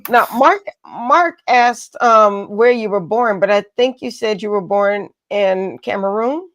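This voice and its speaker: A woman's voice: mid-range voice, a little slow, fairly bright tone, no echo. A woman hosting a conversational interview, affirming her guest and feeling out her phrasing as she goes. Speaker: interview host.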